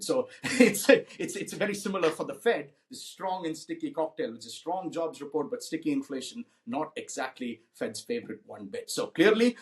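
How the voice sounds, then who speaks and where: A man hosting a solo finance podcast, his voice hoarse, explaining economic figures in a conversational way with frequent restarts and fillers. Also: on the high side. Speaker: podcast host. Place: indoors in a cold city.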